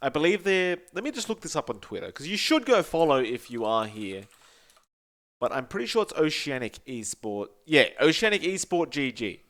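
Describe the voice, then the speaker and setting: A man's voice: on the high side, very animated, moderate pace, a bit bright. A young Australian man co-hosting a gaming podcast, ranting bluntly with profanity and often calling his co-host by name. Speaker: podcast co-host and esports caster. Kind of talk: casual podcast chat.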